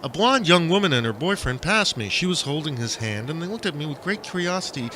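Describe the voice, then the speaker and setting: A man's voice: a little high, sing-song, brisk, noisy background. A man reading surreal first-person fiction as a dramatic audiobook, swinging between emphatic lines and muttered asides. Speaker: author reading own stories.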